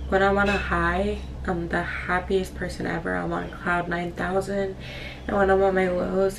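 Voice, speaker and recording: A woman in her twenties chatting casually to viewers as a vlogger, in a rambling, candid, apologetic way. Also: lowish voice. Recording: distant mic, clearly noisy room.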